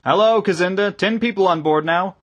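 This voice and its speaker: A man acts out a kindly engineer turned lay preacher from a storybook. He is eager, welcoming and gently correcting, speaking up in a raised, husky voice. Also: mid-range voice, animated, fairly quick, muffled recording, pitched above the performer's own voice. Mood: eager, hospitable. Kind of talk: audiobook character acting.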